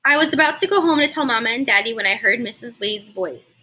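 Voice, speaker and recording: A woman reading a children's story aloud like a teacher, animated and explaining things simply for young listeners. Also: a little high, quick pace. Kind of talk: expressive children's read-aloud with explanatory asides.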